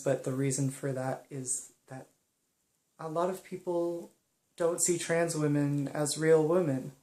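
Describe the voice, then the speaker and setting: A young woman speaking softly and hesitantly in a personal monologue, pausing often. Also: low voice, echoey room. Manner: soft-spoken and hesitant, many pauses and ums. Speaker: vlogger.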